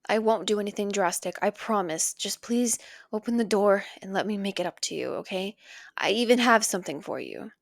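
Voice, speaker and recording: A woman playing a possessive, doting female villain in an intimate ASMR roleplay, coaxing and tender, with a breathy voice that often drops to a whisper. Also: mid-range voice, brisk, dry recording, clean studio sound. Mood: pleading, remorseful. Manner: coaxing, tender, lingering on pet names.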